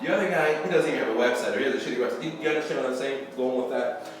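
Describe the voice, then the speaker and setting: A man's voice: medium pitch, very fast, slight background noise. A man from Iran, a real estate investor and channel host, giving loose, rambling advice to camera and checking in with "okay?" as he goes, with the odd swear word. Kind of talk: casual instructional talk to camera. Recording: very echoey room, mic far off.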